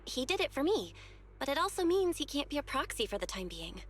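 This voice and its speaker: A woman's voice: sits high, very animated, a little fast, a bit bright. A woman voicing an earnest, determined young woman proxy who speaks with an anxious sincerity, in a game dub.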